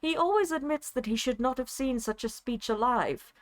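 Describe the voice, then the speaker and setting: A woman's voice: sits high, lively intonation, normal speed. A woman reading a story aloud in a theatrical, actorly dramatic style, as a streamer would. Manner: actorly, breaking into amusement at the absurd text.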